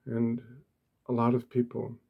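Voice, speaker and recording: Slow, soft-spoken man with husky low voice. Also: completely flat delivery, narrow, tinny audio. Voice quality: soft, hushed, breathy. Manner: gentle, deliberate, short phrases with pauses. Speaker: ASMR host. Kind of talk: soft-spoken ASMR meditation talk.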